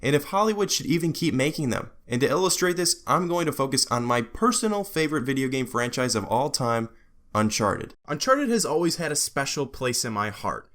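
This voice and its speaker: Animated, sarcastic young male video host. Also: medium pitch, a little fast. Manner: sarcastic, joking, profane asides. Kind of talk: casual scripted video commentary on gaming.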